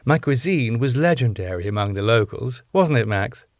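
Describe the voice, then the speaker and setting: A man voicing a deadpan older British landlady. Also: mid-range voice, normal speed, no room sound, studio quality, lightly acted. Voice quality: deadpan, matter-of-fact. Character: deadpan older woman, club owner's wife.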